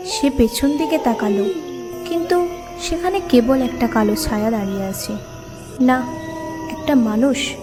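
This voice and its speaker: A woman narrates a scripted ghost story. Her voice sits high, and music runs clearly behind the narration. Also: animated, a little slow, long pauses.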